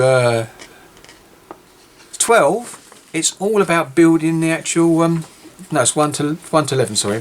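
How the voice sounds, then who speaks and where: A man with a British accent giving a casual, rambling walkthrough of a model kit, often correcting himself, his voice a little worn. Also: a little high. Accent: British English. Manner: rambling, self-correcting, chatty. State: losing his voice. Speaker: scale model builder. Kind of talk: casual kit review video.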